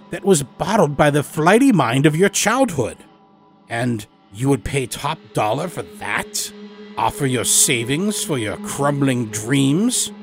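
A man's voice: mid-range voice, pitched above the performer's own voice. A man voicing a stern, haughty elderly dream doctor in an audio horror story, speaking with theatrical, condescending emphasis.

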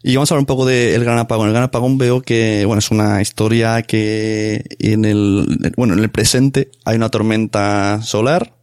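A man's voice: fairly low pitch, little pitch movement, moderate pace, few pauses, a little mellow, clear, resonant tone, no room sound, clean studio sound. A man from hosting a casual interview in a rambling, informal way. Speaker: interviewer, podcast host.

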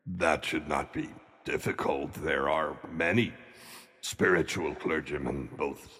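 Grumpy old ghost for a radio play, super slow n deep, pompous, blustery. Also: male voice, fairly bright tone, heavily acted. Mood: pondering. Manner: pompous, blustering, drawn-out delivery. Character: cantankerous, pompous centuries-old male ghost. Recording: long cavernous reverb on voice.

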